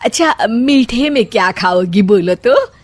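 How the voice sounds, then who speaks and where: Lady doing a fussy middle-aged saas from a cartoon story, exclaims a lot, panics easy, highish voice. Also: animated, unhurried, no echo. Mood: anxious fawning. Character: scheming, easily panicked middle-aged Indian mother-in-law.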